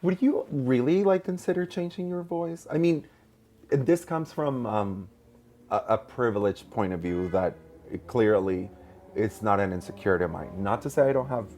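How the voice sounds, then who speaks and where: A man's voice: mid-range voice, expressive, normal speed, darkish tone. A man hosting a podcast interview, asking curious questions and laughing easily. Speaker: podcast host. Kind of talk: casual interview.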